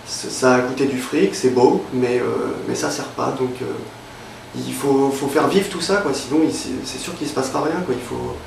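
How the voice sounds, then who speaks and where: A man's voice: medium pitch, moderate pace, gravelly, far from the mic, slight background noise. A young man from Normandy in France, talking casually in an interview, hesitant and full of fillers and restarts. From Évreux, Normandy, France. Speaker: interviewee, freelance illustrator. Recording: roomy, off-mic with faint ambience.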